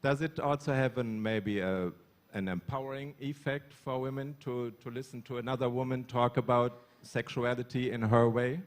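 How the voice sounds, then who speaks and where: Brief: male moderator, halting question phrasing. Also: medium pitch, fairly even intonation. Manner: hesitant questions, restarts. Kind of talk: moderating a public talk.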